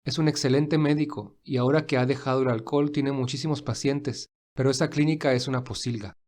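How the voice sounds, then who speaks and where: A man playing a kind, busy, wealthy gentleman who speaks warmly and reassuringly in an audio fan drama. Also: mid-range voice, steady tone, brisk, lightly acted. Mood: calm, friendly. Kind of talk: acted audio fan fiction dialogue.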